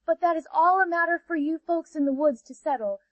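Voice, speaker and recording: A woman's voice: brisk, dry recording. A woman voicing a chorus of stubborn ray fish who talk like defiant children. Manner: high, childlike, insistent. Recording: dull, dry audio.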